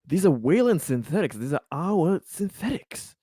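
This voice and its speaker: A man's voice: a little high, sing-song, moderate pace, warm tone, husky, no room sound, studio quality. A man playing in a tabletop game, chatting casually and swearing freely. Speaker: player. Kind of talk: casual chat.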